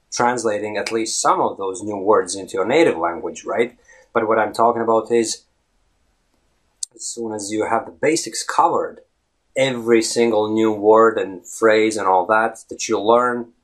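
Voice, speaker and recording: Low-voiced Latvian man coaching English. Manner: conversational, often ends with a questioning "right?". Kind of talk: talking-head video lesson. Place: indoors in front of a green screen. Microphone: close camera, head-and-shoulders framing.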